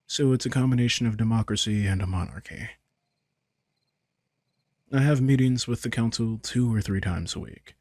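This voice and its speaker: A gravelly, close and dry voice for a vampire king acted by a man in an intimate audio drama. He is a casual, manipulative charmer who talks in a low register. His manner is teasing and softly condescending, with a smug ease. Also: no room sound, exaggerated voice.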